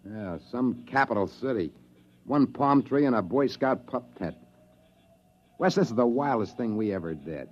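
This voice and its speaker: A man's voice: medium pitch, animated, normal speed, lightly acted. A man acting an anxious, grumbling time-travel adventurer in a 1950s radio drama, with a wry, slangy manner. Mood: grumbling worry. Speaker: actor. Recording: dull, muffled vintage radio recording.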